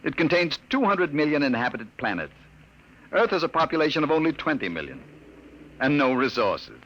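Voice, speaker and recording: A man's voice: fairly high pitch, big pitch swings, normal speed, a bit bright, heavily acted. A man acting an earnest, skeptical off-world archaeologist in a 1950s radio drama. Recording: noisy muffled old radio broadcast.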